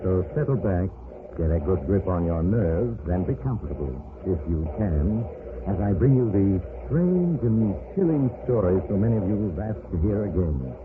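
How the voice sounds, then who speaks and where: A man playing a sinister, teasing storyteller who narrates a 1940s radio drama slowly and ominously. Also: low-pitched, very animated, background noise. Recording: tinny old radio broadcast with music.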